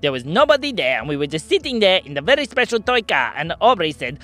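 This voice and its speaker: A man's voice: a little high, fast, faint music behind. A man playing a small, mischievous magical creature who tells children's stories in an eager, childlike, singsong babble. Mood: gleeful mischief. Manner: excitable, singsong, playfully babbling. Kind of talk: storytelling for children, in character.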